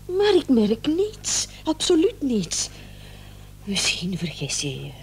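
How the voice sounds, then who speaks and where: A woman's voice: on the high side, huge pitch swings, takes it slowly, husky, exaggerated voice. An actress playing a concerned, weary wife who soothes her nervous husband in a radio play. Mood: soothing, doubtful. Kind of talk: Dutch radio play acting.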